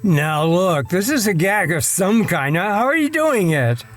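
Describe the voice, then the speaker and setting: A woman playing a sceptical, nagging companion in an audio drama, brisk, sarcastic and no-nonsense. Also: fairly low pitch, big pitch swings, soft background music. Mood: disbelieving. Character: sceptical, nagging household companion of a harried writer.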